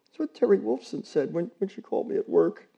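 A man's voice: high voice, huge pitch swings, brisk, highs cut off, voice pushed up, full character voice. A man voicing a grieving, tearful woman. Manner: soft, tearful, breaking off mid-sentence. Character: grieving woman neighbor, distraught and choked. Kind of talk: audiobook character reading.

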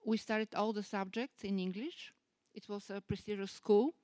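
A woman's voice: medium pitch, steady tone, moderate pace, a little mellow, dry recording. A woman from Bulgaria with a Bulgarian accent, asking an audience question after telling an earnest personal story. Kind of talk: audience question at a public talk.

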